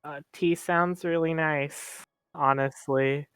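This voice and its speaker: A young man playing a tabletop roleplaying game, speaking in character in a halting, stammering way. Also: on the high side, fairly even intonation, a bit bright, smooth, dry recording, studio quality. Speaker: player.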